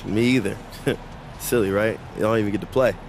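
A man's voice: lowish voice, brisk, a little hiss or hum, close to an everyday voice. A young man playing a goofy, clingy, joking basketball rookie in a video game cutscene, rambling playfully in slang. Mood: friendly. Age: young adult. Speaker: rookie teammate.

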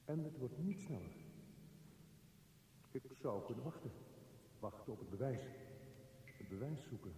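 An actor playing a lone, anxious man brooding in a tunnel, speaking a radio drama monologue in halting, clipped phrases. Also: mid-range voice, lively intonation, warm tone, natural voice. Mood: agitated, then wary, brooding. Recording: echoey hall reverb, faint hiss.

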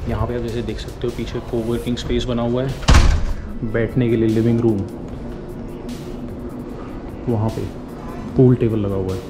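A man's voice: medium pitch, level tone, a little fast. A man in his thirties giving a casual walking tour of an apartment building, chatting in Hindi with English phrases, filmed on a handheld action camera. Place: new apartment building, rooms and rooftop. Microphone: handheld action camera, wide angle. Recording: echoey empty rooms, music underneath.

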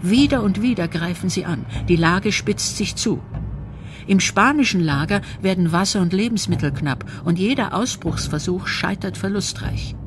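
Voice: mid-range voice, noisy background. A narrator reading a scripted historical documentary.

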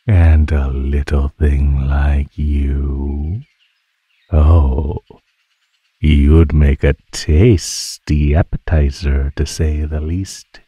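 A man voicing a predatory male naga in an ASMR roleplay, husky and breathy, teasing his prey in a mockingly sweet drawl. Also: very deep voice, level tone, extremely slow, mellow, no echo, heavily acted. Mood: playful menace. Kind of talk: ASMR roleplay, scripted monologue.

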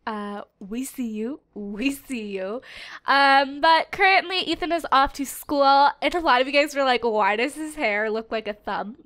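A young woman with a noticeably high, bright-toned voice hosts a casual let's play. Her nose is stuffed up, and she chats bubbly, with frequent giggles and quick asides. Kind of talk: casual gameplay commentary.

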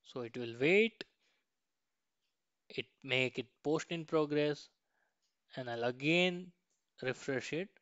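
A man's voice: on the high side, slow, long pauses, a bit bright, clear, resonant tone, dry recording. Man, dull lo-fi recording. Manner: frequently ends points with a questioning "right". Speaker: software tutorial instructor. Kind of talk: screen-share tutorial walkthrough, informal step-by-step.

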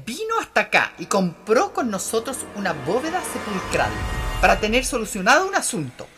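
An actress playing a formal, unyielding saleswoman in a radio drama, speaking with insistent, deliberate emphasis. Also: mid-range voice, huge pitch swings, music in the background. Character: unyielding, formal office saleswoman of burial vaults.

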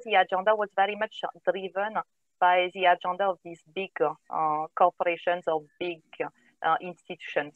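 French woman, 30s, halting and filler-heavy, dry tinny call audio, earphone mic at home. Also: fairly low pitch, no echo. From northern France. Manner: hesitant, many filler sounds. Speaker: guest, company co-founder. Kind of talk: video call interview. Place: home room, indoors. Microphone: wired earphone headset on video call.

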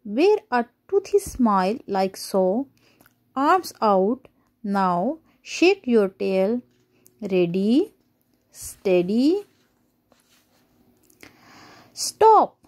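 A woman reading a children's picture book aloud as a storyteller, deliberate and a little halting in places. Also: mid-range voice, sing-song, darkish tone.

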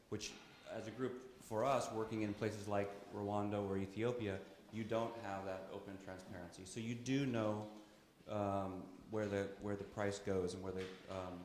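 A man speaking on a panel discussion, explaining at a measured pace with frequent fillers and restarts. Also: on the low side, a little hiss or hum. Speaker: coffee trader on a panel. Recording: echoey conference hall.

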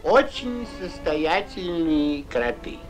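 A man's voice: on the high side, expressive, slow pace, low-quality recording. An actor playing a pompous, self-important rich mole bridegroom in a classic cartoon, drawing out his words theatrically. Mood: pompous, courtly. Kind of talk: classic Soviet cartoon voice acting. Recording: old cartoon soundtrack, faint music.

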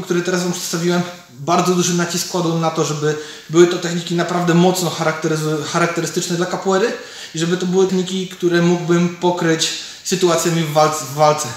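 A man, explaining martial arts techniques informally as an instructor while demonstrating them. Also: fairly high pitch. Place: large indoor MMA cage gym. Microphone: camera several metres away. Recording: echoey gym, distant mic, some noise.

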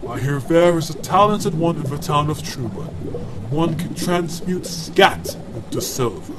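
Encouraging, formal master magician for fantasy audio play male actor, lively intonation, Filipino accent, loud ambience behind. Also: on the high side, measured pace. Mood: earnest, reassuring. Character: kindly master magician, mentor to apprentices. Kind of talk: fantasy audio drama acting. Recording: loud background ambience noise.

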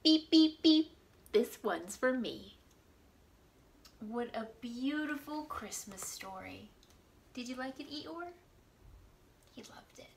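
A woman in her thirties hosting a storytime, talking expressively and deliberately to small children. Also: sits high, animated, vocal fry, slight background noise. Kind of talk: expressive picture-book storytime reading for young children. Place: small indoor room at home.

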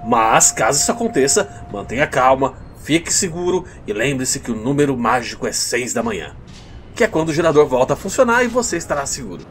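A man acts out a shifty pizzeria boss in a dubbed horror film, speaking with a Brazilian accent. His delivery is breezy and reassuring with hesitant fillers, and the pitch moves around a lot. A faint rumbling noise sits behind the voice. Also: very animated, fairly quick, close to an everyday voice. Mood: reassuring, evasive. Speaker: voice actor in a fan dub.

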